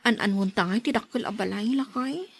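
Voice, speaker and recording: A woman's voice: medium pitch, a little fast, vocal fry, slightly rough. A woman acting an affectionate, thrifty young wife who is easily surprised, in an Ilocano-accented radio drama. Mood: polite, questioning.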